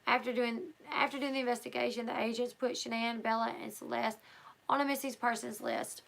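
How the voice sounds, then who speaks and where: A woman who is hosting a livestream, reading a book aloud and chatting casually with her viewers. She is hoarse and talks loosely, with fillers and restarts. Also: fairly high pitch, fairly even intonation, a little fast, fairly bright tone, poor audio. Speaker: livestream host.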